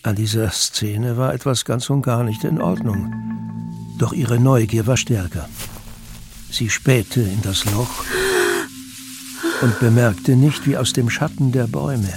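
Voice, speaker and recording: A man's voice: medium pitch, unhurried, long pauses. A man narrating a scripted, dramatic audiobook as a storyteller. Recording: music bed under narration.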